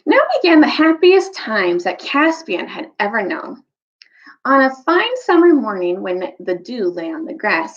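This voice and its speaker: Female storytime reader. Very lively intonation, creaky edge to the voice, slightly dull recording. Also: mid-range voice. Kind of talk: expressive read-aloud storytelling. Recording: slightly dull, muffled audio.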